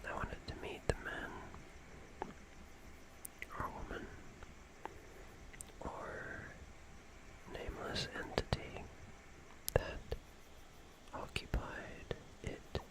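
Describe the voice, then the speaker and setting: A person whispering a personal story in ASMR style, halting and unhurried, with long pauses. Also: poor audio.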